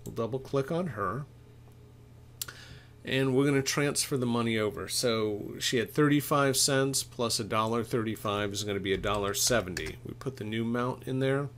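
A middle-aged man presents a software walkthrough as an equipment expert. His voice sits at an ordinary medium pitch. He explains each step informally, talking himself through what he is doing. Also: moderate pace, a little hiss or hum. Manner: informal, explanatory, thinks aloud while typing. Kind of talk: step-by-step software tutorial narration.

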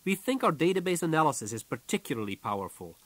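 A man's voice: a little high, big pitch swings, fast talker, fairly bright tone, no room sound. Man reading a business audiobook, dry sound. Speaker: author reading own audiobook. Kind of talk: scripted audiobook narration.

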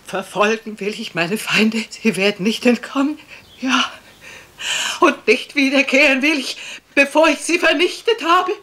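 A fanatical, deranged housemaid declaims scripture like threats in a radio mystery. Her voice is high and sharp, her delivery slow and preaching, with a faint noise underneath. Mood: fanatical, menacing. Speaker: actor playing a housemaid. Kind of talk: German radio crime drama acting.

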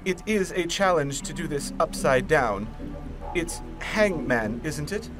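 A man voicing a crafty, nervous riddle-loving villain in a comic fan dub, halting and theatrical. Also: a little high, expressive. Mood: uneasy. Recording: music bed behind.

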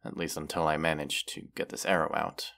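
Man voicing a deep, gravelly, haughty dragon. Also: little pitch movement, sharp tone, full character voice. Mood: proud, indignant. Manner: haughty, slow, deliberate, archaic phrasing. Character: proud, menacing, wounded male dragon. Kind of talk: fantasy audio roleplay, theatrical monologue.

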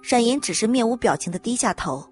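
A woman's voice: a little high, animated, fairly quick, breathy edge. A woman reading scripted audiobook narration as the storyteller. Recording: music under the narration.

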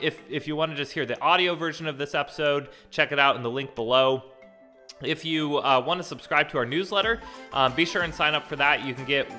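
A man's voice: mid-range voice, pushed and bright. A young man hosting a casual travel podcast from home, talking upbeat and eager with a few fillers, into a stand mic. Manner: upbeat, enthusiastic intro with fillers. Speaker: podcast host. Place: living room at home. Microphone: studio mic on stand with headphones.

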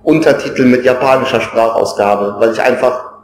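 A man's voice: medium pitch, moderate pace. Wry man from East Germany, roomy sound. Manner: wry, joking. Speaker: interviewee. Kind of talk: answering a survey question.

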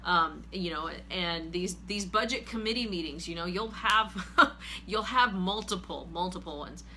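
A woman in her forties hosts an earnest, steady advice chat from a living room couch, facing a camera. Her voice sits low yet bright and forward, with a faint hum in the room. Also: measured pace. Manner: earnest, steady, reads viewer comments aloud. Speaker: host, finance group leader. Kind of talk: casual advice talk.

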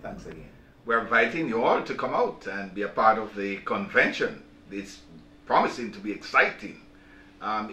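Older male bishop, halting and deliberate interviewee, distant mic in an echoey room. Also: lowish voice, pushed and bright. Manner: deliberate, halting, repeats words. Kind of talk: interview, inviting the public to an event. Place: small office, seated at a desk. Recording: roomy, distant mic, clear background noise.

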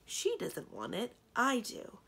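A strong-willed young princess from a storybook, played by a woman reader. She is brisk and matter-of-fact, lecturing with emphatic swoops of pitch. The voice has a little gravel in it. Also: wildly swooping pitch, bright, forceful tone, heavily acted. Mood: brisk, exasperated. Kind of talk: storybook character dialogue.

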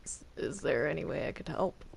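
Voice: medium pitch, animated, fairly quick, creaky. A player chatting casually and hesitantly during a multiplayer game roleplay session. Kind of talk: casual gameplay chat with roleplay. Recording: noisy home game recording.

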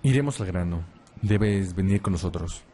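Male actor as gruff vampire protector, low, dark mellow tone, curt, brooding. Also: moderate pace. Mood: curt, menacing. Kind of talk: ASMR audio roleplay acting.

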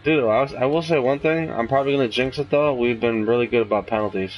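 A man's voice: mid-range voice, quick pace, highs cut off, music in the background. A man casually chatting and bantering as he plays a video game, in an informal, easygoing way. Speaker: gaming show co-host playing. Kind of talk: casual gameplay chat.

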